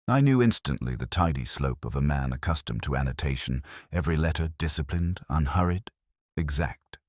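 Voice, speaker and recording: A man playing a world-weary, erudite police inspector, narrating a mystery in brooding, literary, measured phrases. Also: a bass voice, expressive, no echo, clean studio sound. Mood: wry, somber. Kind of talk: scripted first-person mystery narration.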